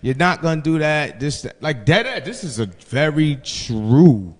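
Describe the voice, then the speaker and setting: A man's voice: on the high side, sing-song, measured pace, in a large hall. A man in his forties telling stories casually, with profanity, slang and repeated phrases for emphasis. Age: 40s. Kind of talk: casual animated storytelling.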